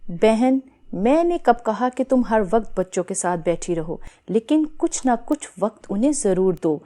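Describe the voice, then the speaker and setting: A woman playing a calm, sensible mother who reassures and advises a friend in a children's moral story. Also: medium pitch, close to an everyday voice. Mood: advising. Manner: reassuring, instructive.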